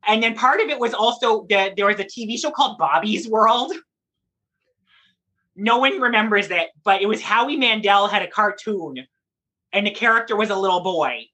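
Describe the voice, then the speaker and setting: A drag performer talks as an interview guest in a bright, forceful voice at a medium pitch. The delivery is conversational and emphatic, explaining and storytelling with animation. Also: moderate pace, slightly rough, poor audio.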